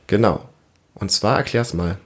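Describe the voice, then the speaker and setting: A man around his thirties or forties hosting a casual family podcast, explaining things and gently prompting with questions. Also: fairly low pitch, lively intonation, a little slow. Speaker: podcast host, father interviewing his child.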